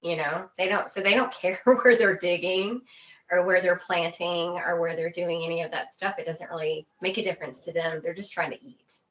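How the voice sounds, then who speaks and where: A woman guest on a video call, chatting informally and telling anecdotes in an animated way. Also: fairly low pitch, moderate pace, poor audio. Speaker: podcast guest. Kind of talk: casual chat, telling travel anecdotes.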